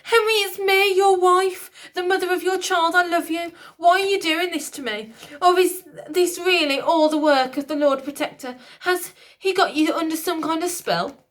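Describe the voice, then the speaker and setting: A woman voicing a proud queen, pleading in a high, strained voice. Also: normal speed, fairly bright tone. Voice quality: high, pleading, shrill when upset. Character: proud frightened queen, middle-aged woman.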